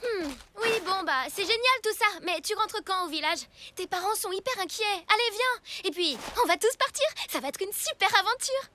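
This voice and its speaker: A woman's voice: very high-pitched, expressive, moderate pace, bright, forceful tone, a little hiss or hum, exaggerated voice. A woman voicing a clever, confident young girl in a cartoon, self-assured and a little smug. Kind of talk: French cartoon voice acting.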